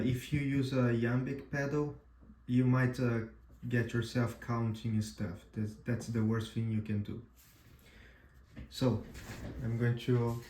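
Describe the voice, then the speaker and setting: A man whose first language is Portuguese gives a casual tutorial demo, pausing often with "uh" and restarting his sentences. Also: mid-range voice, echoey room, noisy background. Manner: halting, frequent "uh" and restarts, checks in with "right?". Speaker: amateur radio instructor.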